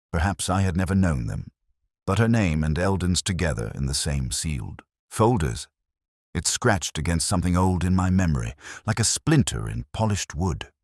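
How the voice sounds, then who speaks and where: A man playing a brooding, world-weary older detective, narrating a mystery in a measured, melancholy, literary way with a husky voice. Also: a bass voice, no room sound, clean studio sound, full character voice. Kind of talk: scripted first-person mystery narration.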